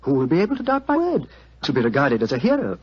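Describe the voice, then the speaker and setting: An actor playing a villainous manservant in a 1940s radio drama, coolly menacing, with formal, deliberate, mock-deferential speech. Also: male voice, on the high side, huge pitch swings, husky, exaggerated voice. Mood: gloating. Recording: muffled vintage 1940s radio recording.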